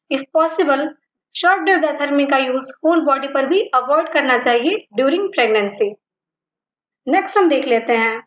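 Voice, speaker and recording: Woman, teaching, measured lecture, slightly muffled sound. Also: high-pitched, reverberant. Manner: mixes Hindi and English terms, list-like delivery. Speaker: physiotherapy teacher. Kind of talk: slow, deliberate lecture reading.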